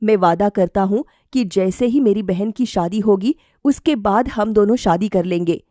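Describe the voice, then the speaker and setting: A mild, dutiful young man from a cartoon moral story, read by a woman. The delivery is sincere and measured, a little hesitant, in a dry close recording. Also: mid-range voice, warm tone, no room sound, lightly acted. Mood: respectful, firm.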